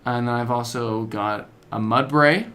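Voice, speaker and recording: A man's voice: mid-range voice, a little slow, pushed and bright. A man chatting casually as a podcast co-host about video games, telling stories in slangy, informal language.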